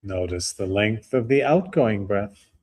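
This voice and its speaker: A man guiding a breathing meditation, speaking slowly and calmly with long pauses. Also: fairly low pitch, breathy edge. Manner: slow, calm, with long pauses. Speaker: meditation guide.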